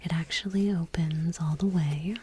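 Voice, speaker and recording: A woman whispering gently and unhurriedly in a soft-spoken ASMR style, close to the microphone. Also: deep voice, steady tone, moderate pace, slight background noise. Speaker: ASMR creator and host.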